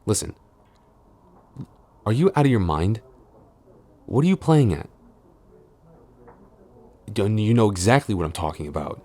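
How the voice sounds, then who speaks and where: A man's voice: lowish voice, big pitch swings, unhurried, long pauses, a little mellow. A man playing a flustered tsundere boyfriend and office supervisor in an intimate audio roleplay, stammering, exasperated and defensive as he scolds. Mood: scolding, alarmed.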